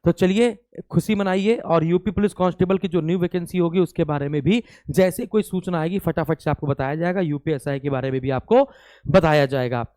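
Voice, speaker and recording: A man speaks as an online coaching teacher in a crisp, clean voice. The recording is close and dry. He explains informally to students, repeating key phrases and checking that they follow. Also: a little high, fairly quick, darkish tone, no room sound. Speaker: teacher and presenter of an exam-coaching channel. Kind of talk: informal exam news update to students.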